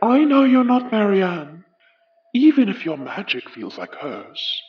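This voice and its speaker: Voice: mid-range voice, huge pitch swings. An actor voicing a sinister, mocking ghost in a horror audio drama, speaking in taunts. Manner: slow, mocking, swooping delivery. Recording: dull, distant sound.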